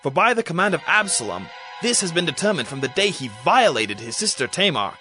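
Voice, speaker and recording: A man's voice: a little high, very animated, brisk, over music. A man playing an earnest royal adviser, urgent and deferential, in a dramatized audio Bible. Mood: urgent reassurance. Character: earnest male royal adviser reassuring his king.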